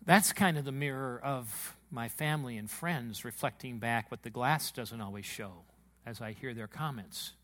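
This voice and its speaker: Booming, excitable man preaching a sermon. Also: moderate pace. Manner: booming, emotional, excitable delivery. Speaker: pastor. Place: church sanctuary.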